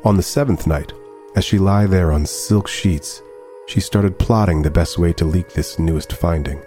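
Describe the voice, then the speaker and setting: An actor playing a polite, introspective AI robot companion with a measured, formal way of talking, in a scripted sci-fi audio drama. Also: male voice, low voice, dark tone, soft background music, lightly acted. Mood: brooding. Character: emotional, polite male AI robot companion, introspective and easily slighted.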